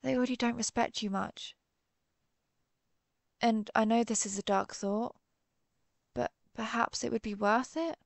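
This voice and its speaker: A woman playing an earnest, conflicted vampire hunter who is herself a vampire, speaking formally with hesitant pauses in a dramatic audio roleplay. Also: a little high, steady tone, vocal fry, no room sound. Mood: grave, resolute. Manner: formal, old-fashioned wording, hesitant pauses. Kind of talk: dramatic audio roleplay, one-sided dialogue.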